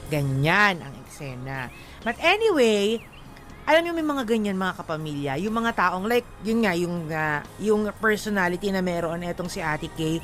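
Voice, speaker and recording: A woman's voice: lowish voice, lively intonation, moderate pace, a bit bright, faint music behind. A talkative, frank woman with a Filipino accent co-hosting a radio show in casual, slangy banter. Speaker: radio co-host.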